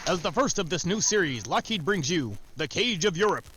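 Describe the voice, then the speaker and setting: An actor playing a formal, proclamatory sponsor announcer for a 1940s radio show. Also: male voice, high-pitched, sing-song, a little fast, heavy background noise. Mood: proud, grand.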